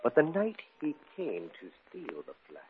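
An older gentleman collector from an old radio play, fussy and very polite. His pitch swoops in lively fashion between hesitations and chuckles. The recording is thin and faintly hissy. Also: unhurried, narrow, tinny audio, exaggerated voice. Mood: storytelling relish. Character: fussy, courteous retired male art collector. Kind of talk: 1950s radio drama acting.

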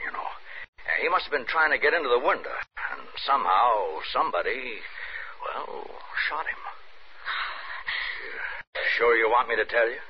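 An actor in a 1950s radio drama playing an awkward, kindly sheriff's officer who speaks haltingly and apologetically. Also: male voice, mid-range voice, lightly acted. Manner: halting, fumbling, soothing. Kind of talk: 1950s radio naturalistic acting. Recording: muffled, noisy 1950s radio recording.

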